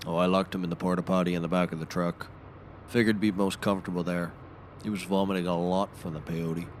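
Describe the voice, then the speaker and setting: An actor playing a gruff, deadpan outdoorsman and old sea hand in a scripted comedy audio show, with a dry storytelling manner. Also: sits low, fast talker, slight background noise, natural voice.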